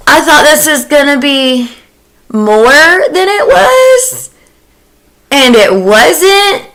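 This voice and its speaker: A young woman in a casual podcast chat about movies, speaking in a blunt, animated way and slipping into sarcastic imitations. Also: high voice. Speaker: horror podcast panelist. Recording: noticeable background noise.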